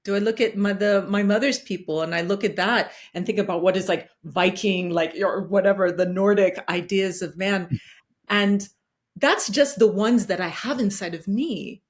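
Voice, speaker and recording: A woman's voice: medium pitch, moderate pace. A woman speaking as a podcast guest, reflective and searching, with frequent fillers and restarts. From Guatemalan and Danish roots, partly raised in the United States. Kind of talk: reflective conversational talk.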